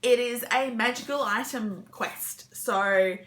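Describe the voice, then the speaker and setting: A woman's voice: on the high side, slow, a bit bright, rough recording. A young adult woman talking casually as a book vlogger, rambling and interrupting herself with enthusiastic asides. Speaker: book vlogger sharing weekend reading plans. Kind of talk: casual rambling chat to camera.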